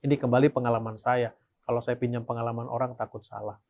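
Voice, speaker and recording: A man's voice: mid-range voice, flat delivery, fast talker, creaky, narrow, tinny audio. A man teaching a Sabbath school lesson, reading passages aloud and then explaining them, often asking a question and answering it himself. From Indonesia. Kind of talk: Bible study lesson.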